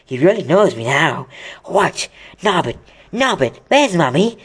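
A reader voicing a big, soft-hearted male gamekeeper who dotes on his pet, in a slow, pitched-up voice during a read-aloud of a children's novel. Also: very animated, breathy edge. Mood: doting.

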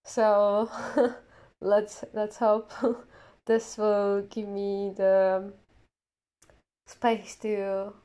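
A woman's voice: mid-range voice, little pitch movement, extremely slow, long pauses, dark tone. A young woman whose first language is not English, a player on a role-playing podcast, speaking hesitantly with many fillers and giggles. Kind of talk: casual chat.

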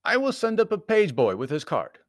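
Hotel clerk, voiced by a male narrator: high, lilting, obliging, formal service manner, storybook reading. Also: huge pitch swings, a little fast, no echo, clean studio sound. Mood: courteous. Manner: courteous, formal.

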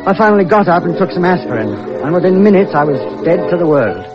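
An actor playing an anxious, excitable man who runs a rooming house, in an old-time radio thriller. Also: high voice, fast. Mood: troubled, narrating. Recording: dull old radio recording, music behind.

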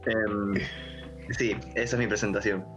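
A man's voice: lowish voice, level tone, a little slow, music in the background. A man in his twenties with a Panamanian accent, talking casually as a podcast guest on a dull home recording. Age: 20s. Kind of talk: casual personal storytelling.

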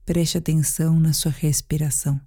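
Brazilian female meditation guide, low-pitched and soft-edged, calm and deliberate, soothing bedtime narration. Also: husky.

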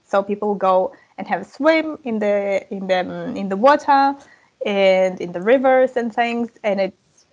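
A woman, a language coach talking as a podcast guest in a relaxed, chatty way with frequent laughs and fillers. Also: on the high side, highs cut off. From Ukraine. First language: Russian and Ukrainian. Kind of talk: casual interview chat.

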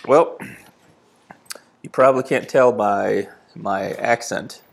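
A man in his thirties, from New England, talks in a low, gravelly voice. He rambles through a story with a relaxed, unhurried ease and plenty of filler words, like an ordinary guest on a podcast. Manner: easygoing storytelling with frequent fillers. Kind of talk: casual chat.

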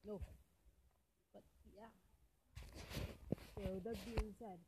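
A woman's voice: mid-range voice, steady tone, drawn-out words, very noisy. A woman from Algeria, an English speaker, chatting casually and emphatically as she gives advice on a solo podcast, often repeating phrases for stress. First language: not English, a non-native speaker. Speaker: podcast host, independent writer.

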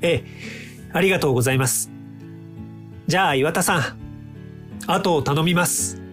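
A man's voice: fairly high pitch, normal speed, a bit bright, soft background music, lightly acted. A man playing a polite, eager, chatty time-travel researcher from the future in a radio drama. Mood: polite, assured.

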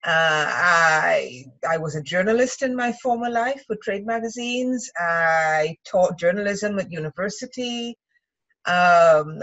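A woman's voice: lowish voice, a bit bright. Chatty lady guest, muffled lo-fi sound, lots of ums. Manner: chatty, with fillers and quick laughs. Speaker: guest writer and mentor. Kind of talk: casual interview chat.

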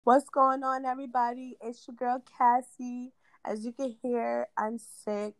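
Raspy New York woman ranting. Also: on the high side, little pitch movement, unhurried, low-quality recording. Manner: blunt, cursing, ranting. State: raspy voice after an asthma attack. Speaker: podcast host. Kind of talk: casual podcast chat.

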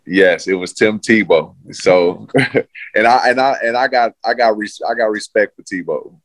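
Deep-voiced relaxed former football player. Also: male voice, measured pace, no room sound. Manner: laid-back, loose, many fillers. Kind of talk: casual interview chat.

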